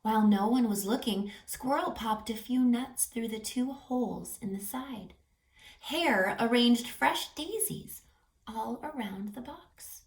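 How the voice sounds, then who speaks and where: A woman reading a picture book aloud for children, animated, pausing to ask questions and dropping to hushed tones. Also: fairly high pitch. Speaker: read-aloud storyteller for children. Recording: roomy echo, distant mic, music behind.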